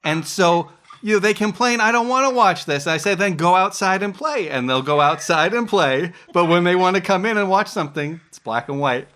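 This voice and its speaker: Bright, higher-voiced American man hosting. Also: lively intonation, normal speed, few pauses. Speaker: podcast host, interviewer. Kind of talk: casual interview chat.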